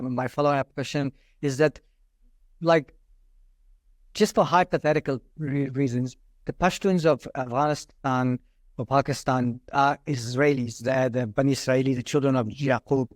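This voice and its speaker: A man's voice: medium pitch, normal speed, no room sound. A man interviewing a rabbi, asking long, hesitant questions. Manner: hesitant, many fillers and restarts.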